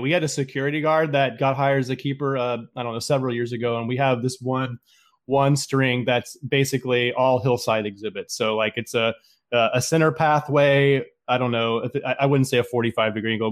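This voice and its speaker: A man in his late thirties hosting a casual interview, chatty and quick, full of fillers. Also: mid-range voice, fairly even intonation. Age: 30s. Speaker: podcast host, zookeeper.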